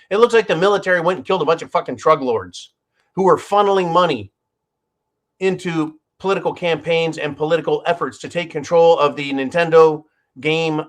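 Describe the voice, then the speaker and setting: A man hosting his own channel, giving opinionated news commentary in a sarcastic, profane way and often addressing his listeners as folks. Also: a little high. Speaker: channel host.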